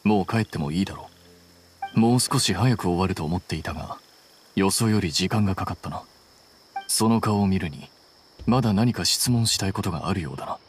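An actor playing an aloof, rational young scholar in an anime game, speaking in a dry, curt and formal way. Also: male voice, deep voice, brisk, slight background noise, close to an everyday voice. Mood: dry, indifferent. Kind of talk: anime video game voice acting.

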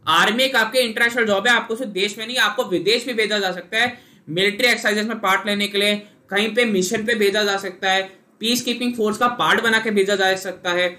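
A man's voice: high-pitched, steady tone, a little fast, bright, forceful tone. A young Indian man coaching students in a live online class, explaining patiently and calling on viewers by name. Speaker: SSB interview coach.

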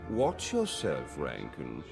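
A man playing a proud, threatening dark lord in a video game, haughty and formal. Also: very deep voice, wildly swooping pitch, takes it slowly, gravelly, exaggerated voice. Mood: menacing. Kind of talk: video game villain acting. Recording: loud game music behind.

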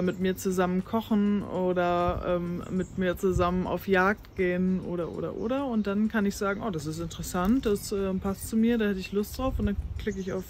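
A woman's voice: mid-range voice, darkish tone. A woman, a farm life blogger talking casually outdoors on a farm, with many fillers and restarts. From North Frisia, northern Germany. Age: 30s. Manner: chatty, with many fillers and restarts, laughs easily. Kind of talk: casual interview talk. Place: outdoors on a farm lawn by a brick farmhouse.

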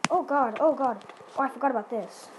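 A woman's voice: high voice, brisk, background noise. A woman giving casual, playful, chatty commentary during a video game roleplay. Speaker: gaming video host.

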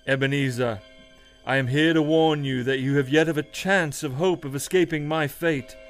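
A man's voice: fairly high pitch, steady tone, moderate pace, soft background music, natural voice. A man playing a grave, ominous ghost giving warnings in a radio play. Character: solemn ghost of a dead business partner, warning. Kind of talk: old-time radio play acting.

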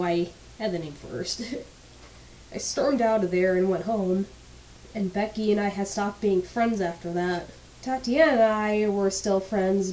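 A woman's voice: mid-range voice, warm tone, poor audio. A young woman with a slightly stuffy, congested voice, casually narrating a personal story with blunt, candid remarks and casual swearing.

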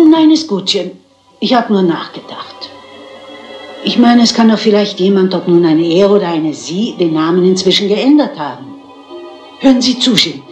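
Elderly lady sleuth, played by an actress for radio mystery: inquisitive, brisk, dryly polite, medium pitch, slightly echoey room. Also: close to an everyday voice. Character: shrewd, composed elderly lady amateur detective. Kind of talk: German crime radio play dialogue. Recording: roomy radio drama, faint music behind.

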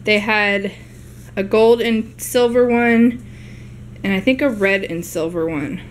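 A woman's voice: medium pitch, slow pace, a little hiss or hum. A young woman chatting casually at home as she shows off her grocery haul to a handheld camera. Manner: chatty, rambling show-and-tell. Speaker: vlogger. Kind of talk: casual haul video narration. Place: home living room.

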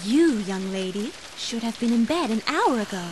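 Noisy recording of a high-voiced mother, woman acting. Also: expressive, moderate pace, lightly acted. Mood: stern. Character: loving but firm young mother and wife. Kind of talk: fan-dubbed animated drama. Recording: noisy amateur dub with ambience.

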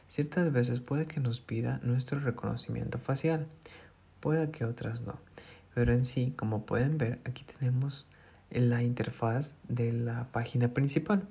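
A man's voice: mid-range voice, unhurried, gravelly, dark tone, husky. A man hosting a phone app tutorial, explaining each step patiently and repeating himself. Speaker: tutorial host. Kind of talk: screen-recorded phone tutorial.

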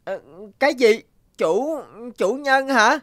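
A woman voicing a kind, thoughtful young schoolboy in an animated cartoon, earnest and musing. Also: high-pitched, slow, dry recording, studio quality. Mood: amazed. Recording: prominent music under the voice.

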